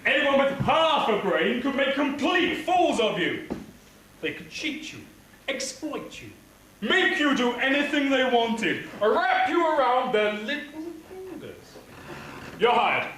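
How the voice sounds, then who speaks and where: A man's voice: very high voice, sing-song, takes it slowly, fairly bright tone, exaggerated voice. A man playing a scheming, mock-polite pantomime villain boss in a declamatory, taunting stage style. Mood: sly, taunting. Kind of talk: theatrical pantomime stage acting. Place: theatre or hall stage, live performance. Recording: echoey hall stage recording, faint noise.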